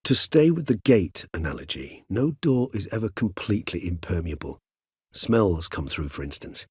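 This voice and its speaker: Game role: elderly vampire gentleman-scholar. Measured, bookish, gently weary; a touch husky, recorded close and dry. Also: low voice, sing-song, no echo, exaggerated voice. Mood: musing. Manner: measured, courtly, bookish phrasing with thoughtful hums. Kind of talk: video game character dialogue.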